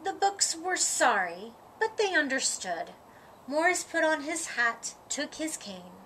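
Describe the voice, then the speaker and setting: A woman reading a children's story aloud, with expressive, deliberate phrasing and dramatic emphasis. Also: sits high. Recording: audible background noise.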